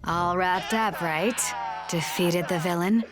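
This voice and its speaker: A woman playing a sassy, confident hero who narrates an animated game in a wry, conversational way with playful asides. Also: on the low side, slow, over music. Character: sassy, confident female hero recapping the adventure. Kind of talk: conversational scripted cutscene narration.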